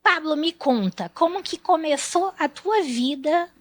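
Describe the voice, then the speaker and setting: A woman's voice: high-pitched, expressive, measured pace, no echo. A woman with an Amazonas accent hosting an interview, playful and teasing, cutting in often. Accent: Amazonas Brazilian Portuguese. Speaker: talk show host. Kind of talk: interview chat.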